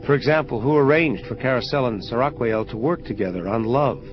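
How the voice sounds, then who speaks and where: A man playing a solemn, troubled angel of vengeance in an audio drama, speaking in formal, measured phrases. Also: mid-range voice, lightly acted. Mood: accusing. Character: grave, troubled male angel of vengeance confronting his creator. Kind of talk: dramatic audio play dialogue. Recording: dull lo-fi audio under music. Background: prominent atmospheric music.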